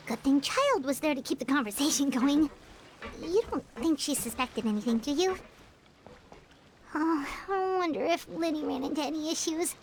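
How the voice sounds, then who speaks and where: Childlike fairy companion, excitable, bouncy, very lively pitch. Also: female voice, normal speed, slight background noise, poor audio. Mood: relieved. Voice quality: high, squeaky, bouncy. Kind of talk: video game voice acting. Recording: game audio over soft music.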